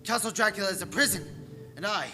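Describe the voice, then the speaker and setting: An actor playing an anxious English gentleman held captive, narrating his diary with earnest, suspenseful theatricality in a radio play. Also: sits high, fast talker. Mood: mounting dread. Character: Jonathan Harker, anxious English gentleman, dread-filled captive diarist. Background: music.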